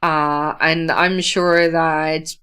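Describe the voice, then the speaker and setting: A woman's voice: low-pitched, level tone, drawn-out words, fairly bright tone, clean tone. A Dutch woman with a Dutch accent, casually hosting a livestream, answering viewer comments in a thoughtful way, with many fillers and restarts. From Netherlands. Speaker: livestream host, author.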